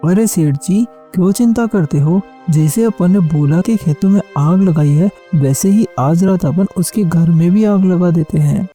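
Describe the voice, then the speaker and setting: Someone doing a sly wicked henchman guy in a cartoon, really mellow dark voice, flattering and coaxing. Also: medium pitch, measured pace, hardly any pauses, breathy edge, soft background music. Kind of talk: Hindi animated moral story dialogue.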